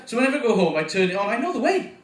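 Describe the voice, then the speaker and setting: A man with a Welsh accent performing a stand-up comedy set, getting animated at punchlines. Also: very fast, distant mic. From Wales. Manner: animated, voice jumps up in pitch at punchlines. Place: comedy club stage. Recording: echoey room, voice from afar.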